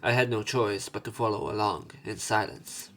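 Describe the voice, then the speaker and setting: A man doing a let's play, reading visual novel narration and dialogue aloud, somewhat haltingly, correcting himself as he goes. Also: on the low side, fairly bright tone. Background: game music.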